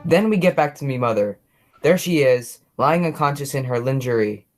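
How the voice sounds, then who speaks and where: A man's voice: mid-range voice, lively intonation, normal speed, over loud music, close to an everyday voice. A young man playing a chatty, oblivious policeman who dreams of writing plays, in a radio drama. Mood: eager, self-absorbed. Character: chatty, oblivious policeman and eager amateur playwright. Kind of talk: radio play acting.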